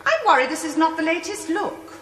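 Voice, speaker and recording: A woman's voice: very high voice, big pitch swings, fairly quick, a bit bright, exaggerated voice. Posh english lady, snobby and fretful, voice swoops about, very echoey with slight hiss. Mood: haughty, fretful. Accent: upper-class English. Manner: imperious, clipped, declamatory. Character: haughty, imperious aristocratic English widow, fretful and snobbish. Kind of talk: theatrical television play dialogue. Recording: echoey stone hall, faint noise.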